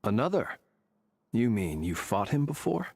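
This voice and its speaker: An actor playing a serious, probing warrior in a video game, with a gravelly, husky voice. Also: male voice, lowish voice, big pitch swings, a little slow, darkish tone, no echo, exaggerated voice. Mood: pensive, questioning.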